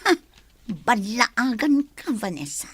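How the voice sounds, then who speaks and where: A woman's voice: a little high, very animated, slow, a bit bright, husky, dry recording, studio quality, exaggerated voice. A woman playing a spiteful, confrontational jilted woman in a melodramatic audio drama. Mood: angry, threatening. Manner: confrontational, scolding. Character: spiteful, hot-tempered jilted woman, threatening and blaming.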